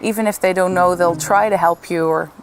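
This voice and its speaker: A singer from the Netherlands, a Dutch-speaking woman, chatting in a relaxed and friendly way with many fillers in a documentary interview. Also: on the low side, brisk, a little hiss or hum. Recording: music behind the voice.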